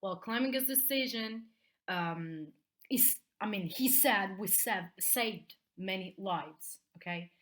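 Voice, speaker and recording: A woman's voice: mid-range voice, slow pace, a bit bright. A woman with an Italian accent teaching in an explanatory video lesson, often checking in with short tags at the end of phrases. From Italy.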